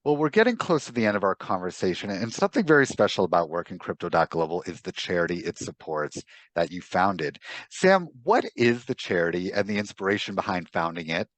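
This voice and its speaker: A man with a fairly low voice hosts a podcast interview in a very dry, close recording. He speaks conversationally and with measure, summing up and listing points step by step. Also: lively intonation, brisk, dry recording. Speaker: podcast host.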